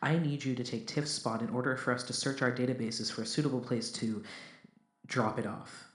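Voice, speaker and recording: A man's voice: mid-range voice, steady tone, brisk, close to an everyday voice. Man as formal, composed crewman, echoey. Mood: matter-of-fact. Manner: formal, precise, matter-of-fact. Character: composed, literal-minded male spaceship crewman. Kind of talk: audio drama acting.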